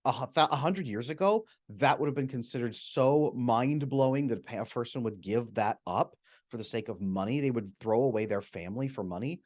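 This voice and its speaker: A man, a retired psychotherapist, speaking as a podcast guest and explaining at length, often acting out quoted people with sudden jumps up in pitch. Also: brisk, a little mellow. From America. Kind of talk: animated explanatory interview talk.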